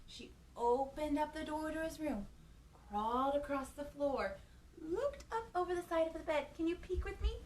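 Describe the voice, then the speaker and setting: A woman hosting storytime for young children, reading a picture book aloud in an expressive, gasping manner and putting questions to her little listeners. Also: high-pitched, moderate pace. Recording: echoey room with faint background noise.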